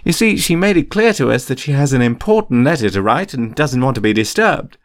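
Man as mischievous schoolboy, storybook character, raised pitch, glib, cheery, quick-witted, easygoing. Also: lively intonation, natural voice. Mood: cheerful, glib. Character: cheeky, quick-witted schoolboy twin pranksters.

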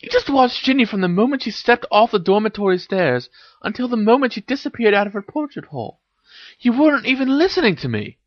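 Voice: sits high, wildly swooping pitch, moderate pace, no echo, muffled recording, pitched above the performer's own voice, exaggerated voice. A reader voicing a teenage girl witch, a bossy and teasing best friend who speaks in emphatic exclamations, for an audio story. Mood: taunting.

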